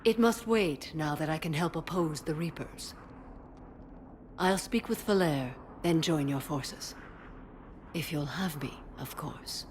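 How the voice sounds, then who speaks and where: An actress playing a solemn, dutiful warrior mother in a video game, speaking formally and gravely in measured phrases. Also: low voice, a little hiss or hum. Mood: calm, dignified. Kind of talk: dramatic video game acting.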